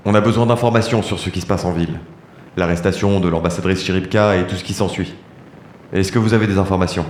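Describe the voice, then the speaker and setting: In a roomy echo, a man plays a combative veteran adventurer with a deep voice. He is curt and matter-of-fact, in audio drama style. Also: steady tone, quick pace, close to an everyday voice. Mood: businesslike. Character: blunt, combative male war veteran leading adventurers. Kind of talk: French audio drama acting. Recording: roomy echo, faint noise.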